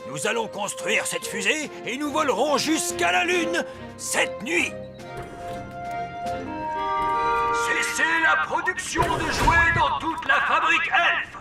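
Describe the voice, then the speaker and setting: A man voicing a pompous, wise old elf inventor in a children's cartoon, with creaky, emphatic, theatrical delivery. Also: very high voice, lively intonation, unhurried, loud music behind the voice, exaggerated voice. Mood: urgent, excited. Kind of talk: French-dubbed children's cartoon acting.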